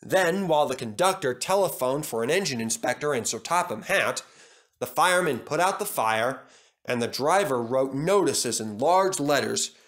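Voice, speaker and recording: A man's voice: medium pitch, fairly bright tone. A man reading scripted narration for a children's story as the storyteller.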